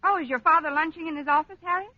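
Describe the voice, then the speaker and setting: A woman acting a sardonic, quick-witted schoolteacher in a 1940s radio comedy, with dry wisecracking delivery. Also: high-pitched, no echo, natural voice. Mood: dry, sly. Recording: dull vintage 1940s radio recording.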